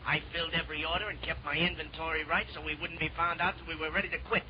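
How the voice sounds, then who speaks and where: A man acting as a resentful young partner in crime on vintage radio. He talks rapidly in a slightly high voice, with muffled sound. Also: level tone, a little hiss or hum, natural voice. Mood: demanding. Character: aggrieved, demanding young male accomplice. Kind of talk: old-time radio crime drama acting.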